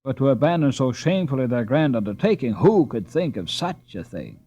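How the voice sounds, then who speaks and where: Animated male storybook narrator. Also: medium pitch, a little fast, breathy edge, highs cut off. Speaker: audiobook narrator. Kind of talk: expressive scripted storybook narration.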